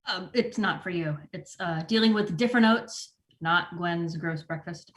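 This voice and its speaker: A woman playing a disorganized, defensive store manager with a halting manner in a comedy table read. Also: on the low side, close to an everyday voice. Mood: awkward, subdued. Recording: roomy, echoing home recording.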